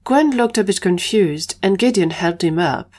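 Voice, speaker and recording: A woman's voice: mid-range voice, expressive, normal speed, a little mellow. A woman narrating a scripted audio drama story.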